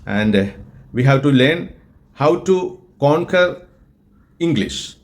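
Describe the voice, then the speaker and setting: A man from Kerala, India, with a Malayalam-influenced Indian accent, teaching English in an earnest, deliberate way and often repeating himself. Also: medium pitch, expressive. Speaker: English tutor and group organizer. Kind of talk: spoken English lesson with an AI chatbot.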